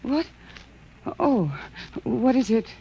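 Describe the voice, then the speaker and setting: A woman's voice: a little high, big pitch swings, slow, full character voice. A woman playing a wistful, hesitant, dreamy Martian wife in a science fiction radio drama. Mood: startled, protesting. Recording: muffled old radio recording, noticeable hiss.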